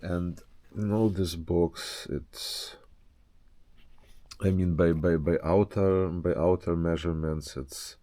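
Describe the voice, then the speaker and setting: A man's voice: sits low, fairly even intonation, slow, mellow. A man giving a casual, unscripted show-and-tell as a hobbyist presenter, speaking hesitantly and often searching for words.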